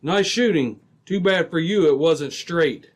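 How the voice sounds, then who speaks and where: A man playing a steady, brave Mountie sergeant in an old-time radio adventure, brisk, assured and commanding. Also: fairly high pitch, noisy background, natural voice.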